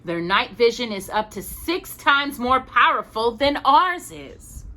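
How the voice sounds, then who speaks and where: A woman hosting a storytime, reading a picture book aloud in an expressive, chatty way with casual asides. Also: on the high side, a little slow. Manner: expressive, chatty, stumbles and corrects herself.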